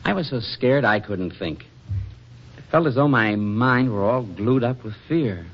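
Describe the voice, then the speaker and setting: An actor playing an affectionate, teasing, excitable husband in a 1940s radio comedy, with a folksy manner. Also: male voice, mid-range voice, a little slow. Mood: shaken, confiding. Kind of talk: 1940s radio drama acting. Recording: dull vintage radio drama recording.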